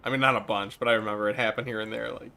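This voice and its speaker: A man's voice: lowish voice, steady tone, rapid-fire, vocal fry, sharp tone, clean tone. A young man with a Midwestern accent chatting casually and nostalgically while streaming a game, swearing and laughing now and then. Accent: Midwestern American. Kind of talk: casual gameplay commentary.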